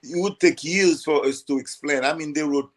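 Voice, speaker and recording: An older man from Haiti, a podcast guest, explaining history in a halting way, repeating words while he searches for them. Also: a little high. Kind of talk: conversational explanation.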